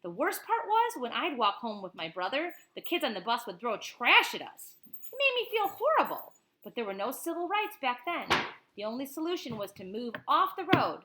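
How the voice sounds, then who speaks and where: A woman reading a picture book aloud to children expressively, pausing to explain things simply to young listeners. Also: fairly high pitch, big pitch swings, bright, forceful tone, slight background noise, poor audio.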